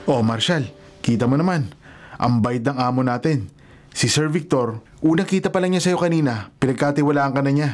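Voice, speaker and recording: An actor playing a protective, teasing cousin who works as a driver in a Filipino radio drama, brotherly and matter-of-fact. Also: male voice, mid-range voice, brisk, close to an everyday voice. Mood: friendly, teasing. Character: protective, teasing male cousin, family driver showing newcomer around. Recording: loud music bed behind dialogue.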